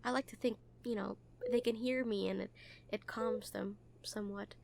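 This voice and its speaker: A woman's voice: mid-range voice, steady tone, normal speed, creaky, darkish tone, slight background noise, lightly acted. A woman playing a kind, earnest nurse in an audio roleplay, talking to a patient in a hesitant, reassuring, conversational way. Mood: shy, confiding. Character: kind, earnest, gently teasing hospital nurse comforting a patient. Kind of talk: roleplay audio acting, soft comfort talk.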